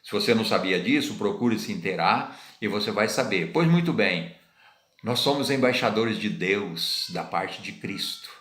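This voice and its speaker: A man's voice: fairly low pitch, lively intonation, moderate pace, fairly bright tone. A middle-aged Brazilian man preaching in an informal, conversational way, repeating phrases and asking his listeners rhetorical questions. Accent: Brazilian Portuguese. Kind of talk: informal sermon teaching. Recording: roomy echo.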